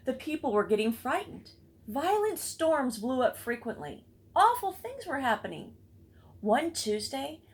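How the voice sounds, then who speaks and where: A woman reading a children's storybook aloud expressively, with chatty asides to listeners. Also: a little high. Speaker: read-aloud book club reader.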